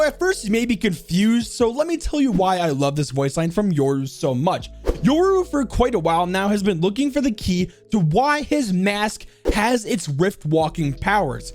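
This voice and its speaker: A man narrating an enthusiastic countdown commentary in an informal, emphatic, animated way. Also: high voice. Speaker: video host and narrator. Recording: music bed behind voice.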